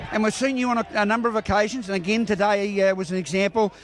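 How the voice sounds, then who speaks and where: A man's voice: high voice, fairly even intonation, fast, a faint crowd. An Australian man interviewing bowlers outdoors after a match, chatty and full of congratulations. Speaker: sports reporter. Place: outdoor bowling green.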